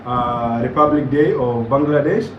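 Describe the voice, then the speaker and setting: A man's voice: medium pitch, moderate pace. A man from South Sudan with a South Sudanese accent, hosting a reaction video in casual chat. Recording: roomy, distant mic, muffled and noisy.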